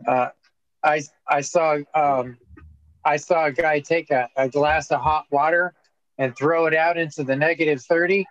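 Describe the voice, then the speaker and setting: Male podcast co-host, higher voice, dry sound, joking storyteller. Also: steady tone, a little slow, fairly bright tone, no echo, muffled recording. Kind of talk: casual chat.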